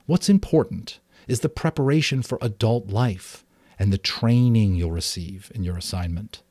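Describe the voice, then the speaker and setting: A man's voice: on the low side, moderate pace, no room sound, studio quality, natural voice. A man voicing a caring, earnest mother in a storybook, explaining things patiently. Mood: gently serious. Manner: patient, explanatory, gently advising.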